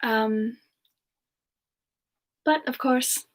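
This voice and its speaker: Slow, high-voiced gentle demon woman a woman acts out. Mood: thoughtful. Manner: soft-spoken, hesitant, often chuckling. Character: gentle, romantic female demon reborn from a past life. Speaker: streamer answering viewer questions in character. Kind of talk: semi-ASMR roleplay Q&A.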